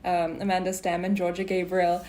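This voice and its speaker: Encouraging young woman host, slightly low voice. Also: monotone, normal speed, warm tone. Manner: encouraging, appreciative. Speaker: podcast host. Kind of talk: casual interview chat.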